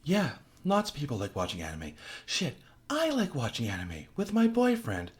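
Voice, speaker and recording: Sharp-tongued captive woman, raised and biting, scornful; man reading the part. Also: on the high side, huge pitch swings, normal speed, voice pushed up. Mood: mocking. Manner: cutting, scornful, blunt.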